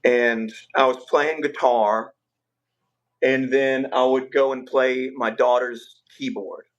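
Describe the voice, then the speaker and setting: Rough-voiced man rambling on a livestream. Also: medium pitch, slow pace, highs cut off. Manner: relaxed rambling storytelling with filler words. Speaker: livestream host. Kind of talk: casual livestream chat.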